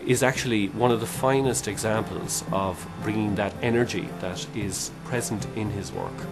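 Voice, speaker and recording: Thoughtful low-voiced man. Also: moderate pace, over loud music, low-quality recording. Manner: thoughtful, hesitant with fillers. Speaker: interviewee. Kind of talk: documentary interview.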